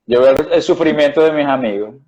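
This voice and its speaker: Venezuelan man, fairly high voice, dull lo-fi sound, rambling explanations, restarts. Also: brisk, a little mellow. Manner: rambling, frequent restarts and fillers. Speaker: guest doctor. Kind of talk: conversational interview.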